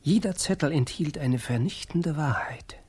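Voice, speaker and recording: A man's voice: fairly high pitch, normal speed, darkish tone, slightly rough. A man narrating a scripted fairy tale in a radio play.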